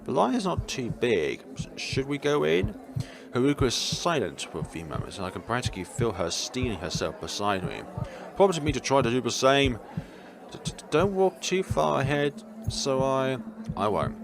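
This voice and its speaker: A man's voice: mid-range voice, lively intonation, moderate pace, vocal fry, background noise. A man reading visual novel narration aloud as a let's player, theatrical but stumbling over words and breaking into jokey asides. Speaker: video game let's player. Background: game music.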